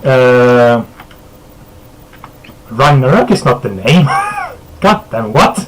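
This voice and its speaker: A laid-back man from Sweden, Swedish his first language, hosts a casual live-streamed chat in an even, mid-range voice. He chuckles often and trails off into fillers. A faint hum of room ambience sits under his voice. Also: mid-range voice, drawn-out words. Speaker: podcast host.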